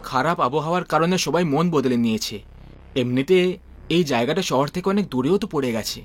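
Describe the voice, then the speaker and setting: Young husband for audio drama, played by a man: affectionate, teasing, higher-than-average pitch. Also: fast talker, close to an everyday voice. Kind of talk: dramatized horror story acting.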